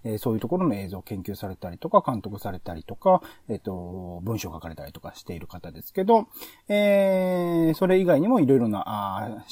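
A man hosting a casual podcast, talking in a halting way with drawn-out fillers as he thinks aloud. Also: fairly low pitch, big pitch swings, warm tone, dry recording, studio quality. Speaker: podcast host. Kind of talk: casual podcast talk reviewing radio shows.

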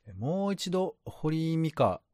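A man's voice: a little high, big pitch swings, slow, mellow, no echo, studio quality. A man co-hosting a podcast, chatting casually and responding with informal asides. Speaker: podcast co-host. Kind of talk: casual chat.

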